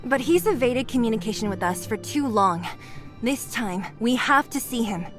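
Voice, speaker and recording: A woman playing a blunt, hot-headed young rebel fighter in a video game, defiant and forceful. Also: on the high side, lively intonation, normal speed, music in the background, close to an everyday voice. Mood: insistent.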